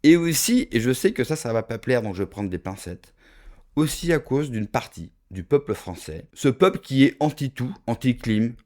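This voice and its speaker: A man's voice: medium pitch, animated, normal speed, darkish tone. A man from France giving an emphatic opinion monologue to his viewers, full of rhetorical asides and direct address. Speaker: political video commentator.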